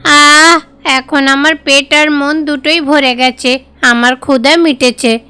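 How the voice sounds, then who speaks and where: A woman's voice: high-pitched, steady tone, slow pace, sharp tone, no room sound, heavily acted. A woman voicing a confident, demanding boy god with a big appetite in a cartoon fairy tale. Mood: satisfied. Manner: demanding, self-assured.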